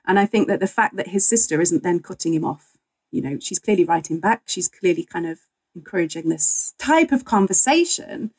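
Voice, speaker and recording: Woman historian chatting in an interview, bit of creak in her voice, candid and wry. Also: on the low side, lively intonation, fairly quick, poor audio. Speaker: guest author and historian. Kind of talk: conversational interview, telling history.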